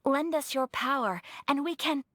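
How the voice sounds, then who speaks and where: Young highborn lady for a game: polite, formal, earnest, lightly high voice, dry sound. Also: normal speed, a bit bright, no room sound. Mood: solemn, gracious. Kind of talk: video game voice acting.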